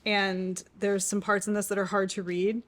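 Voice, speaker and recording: A woman's voice: medium pitch, little pitch movement, moderate pace. Casual, enthusiastic woman chatting playfully. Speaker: co-host of a book channel. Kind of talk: casual playful chat, book guessing game.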